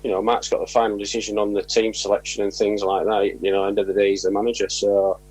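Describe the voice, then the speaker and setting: A man's voice: fairly low pitch, flat delivery, quick pace, a little mellow, slightly rough, poor audio. A man speaking as an assistant football manager in a casual interview, informal and matey in how he talks.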